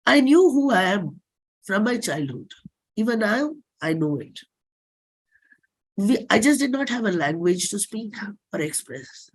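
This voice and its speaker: A middle-aged performer with an Indian accent, giving a dramatic autobiographical reading in measured, deliberate phrases. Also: mid-range voice, very animated, breathy edge, low-quality recording. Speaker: singer and performer.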